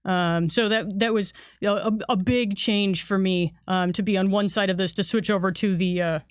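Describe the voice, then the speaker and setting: A woman's voice: medium pitch, fairly even intonation, normal speed, dry recording, clean studio sound. A woman speaking as a game designer in an unscripted panel chat, explaining things informally. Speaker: game designer, former editor.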